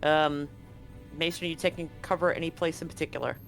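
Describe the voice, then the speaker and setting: A man with a slightly high-pitched voice runs a tabletop roleplaying game as game master. He improvises his narration, pausing and restarting as he builds the scene. Light music plays behind him. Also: gravelly, a bit bright. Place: live-streamed game session.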